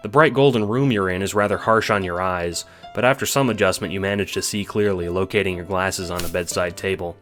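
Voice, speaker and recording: A man's voice: low voice, a little fast, hardly any pauses, a bit bright, faint music behind. A man reading scripted second-person story narration, as the narrator of an audio drama.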